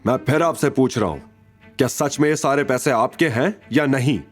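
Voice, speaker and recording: A man's voice: mid-range voice, moderate pace, faint music behind, pitched above the performer's own voice, natural voice. A man voicing a suspicious, officious male sales manager who accuses and threatens in an audio drama. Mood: threatening.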